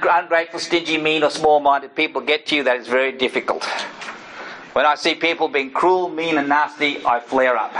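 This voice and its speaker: A man's voice: a little high, sharp tone, a little hiss or hum, low-quality recording. A man teaching an informal sermon, talking conversationally with personal asides and frequent checks with his listeners. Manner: personal asides and self-disclosure.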